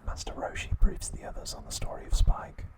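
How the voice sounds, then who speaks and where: A man narrating an episode recap in an ASMR style, in a breathy, unvoiced whisper with deliberate pauses between short phrases.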